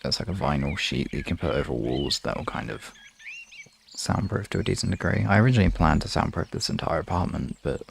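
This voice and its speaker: A man chatting casually to live viewers as a streamer, in a halting, rambling, informal way. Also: low voice. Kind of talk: casual livestream chat. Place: room in an apartment. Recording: clearly noisy home room recording.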